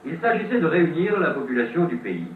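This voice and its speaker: A thin, phone-like old soundtrack carries a male narrator with a lively, swooping voice. He tells his scripted story like a vintage French newsreel, with dramatic pauses. The sound is roomy, with faint music behind. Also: fairly high pitch, a little hiss or hum. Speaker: documentary narrator. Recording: old tinny band-limited film soundtrack, roomy, distant.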